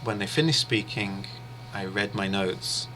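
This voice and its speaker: A man, a native English speaker, teaches an online interpreting lesson in a measured, patient way. His voice sits low yet sounds bright and forward. He pauses often and fills gaps with small ums and uhs. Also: a little hiss or hum, rough recording. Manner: measured, steady explaining with frequent pauses and fillers. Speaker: interpreting instructor. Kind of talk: explanatory online lecture.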